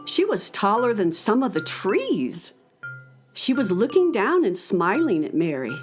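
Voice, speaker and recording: A woman narrating a scripted children's story in an expressive storytelling manner. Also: medium pitch, unhurried, faint music behind. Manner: storytelling, wonder-filled.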